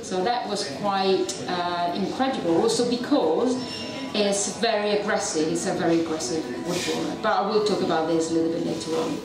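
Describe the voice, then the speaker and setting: A woman's voice: fairly low pitch, moderate pace. Italian lady giving a talk on a handheld mic, chatty, really echoey room, recorded from far back. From Italy. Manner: chatty, self-deprecating, engages audience. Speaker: university researcher giving a public talk. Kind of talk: public lecture. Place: dark event venue with audience. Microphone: handheld mic, filmed from a distance. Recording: echoey venue recorded from afar, crowd present.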